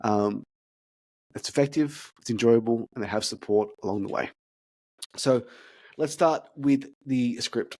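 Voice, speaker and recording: A man's voice: mid-range voice, measured pace, pauses often, warm tone. A man, casually breaking down a sales script, stuttering and repeating words as he talks. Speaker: fitness business consultant. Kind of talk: casual podcast chat, role-playing sales calls.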